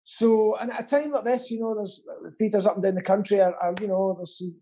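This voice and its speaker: A man's voice: sits high, a little fast, mellow. A man chatting casually as a guest, rambling and earnest, with a self-deprecating streak. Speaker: guest, pantomime actor and theatre stagehand. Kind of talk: casual chat.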